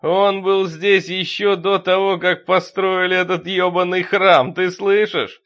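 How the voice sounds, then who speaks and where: A man voicing a crazed, menacing sexton, a fanatical zealot who taunts loudly with drawn-out words in a horror audiobook. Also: a little high, lively intonation, dull audio. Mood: taunting.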